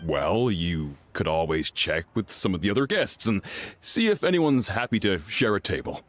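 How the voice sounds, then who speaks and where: Deep-voiced courteous waiter. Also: male voice, very animated, moderate pace, soft background music. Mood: apologetic, helpful. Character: courteous, apologetic restaurant waiter. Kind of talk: game voice acting.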